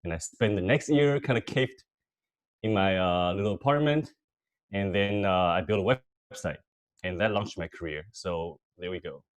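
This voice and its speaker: Low-voiced man telling his career story. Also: normal speed. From Taiwan and the United States. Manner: relaxed, filler-heavy storytelling. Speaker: guest speaker. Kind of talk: presentation on a video call. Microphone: video call.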